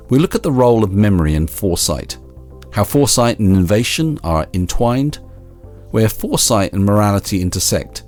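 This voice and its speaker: Weary low-voiced male podcast host. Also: darkish tone. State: tired. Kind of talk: scripted intro, then casual chat.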